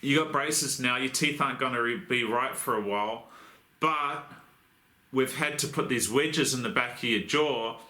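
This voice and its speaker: A man's voice: mid-range voice, normal speed, bright, forceful tone, reverberant. A young Australian man with a lisp from new braces, hosting a casual how-to vlog about crane rigging, joking and swearing in a blokey, rambling way. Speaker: crane rigger and channel host.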